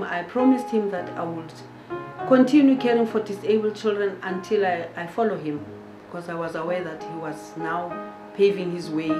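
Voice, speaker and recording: A middle-aged woman with a South African accent, telling her personal story in a deliberate, pausing manner in an interview. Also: on the low side. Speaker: founder and head of a children's care centre. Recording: roomy echo with guitar music.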